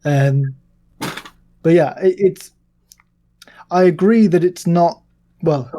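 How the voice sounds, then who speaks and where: A man's voice: on the high side, slow, warm tone. A man in a casual podcast discussion, speaking tentatively and often correcting himself. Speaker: podcast panelist.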